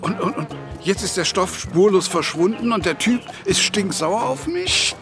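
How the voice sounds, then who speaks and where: A man's voice: high-pitched, expressive, a little slow, music in the background. An actor in his sixties playing a stubborn, insistent widowed pensioner in a radio crime drama. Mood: indignant, urgent. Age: 60s. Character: stubborn widowed pensioner, bossy amateur hero.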